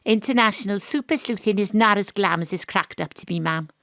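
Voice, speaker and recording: A woman's voice: medium pitch, fairly quick, fairly bright tone, no echo, natural voice. An actress playing a wry, hard-boiled woman detective, narrating in noir style and trading dry lines. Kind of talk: noir first-person narration and dialogue. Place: home studio.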